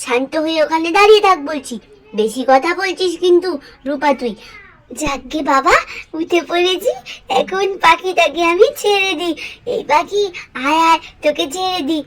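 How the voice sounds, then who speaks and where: A woman's voice: extremely high pitch, normal speed, pitched above the performer's own voice. A woman voicing a mischievous little girl doll in a cartoon story, whining and pleading over and over. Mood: impatient. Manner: whiny, repetitive pleading.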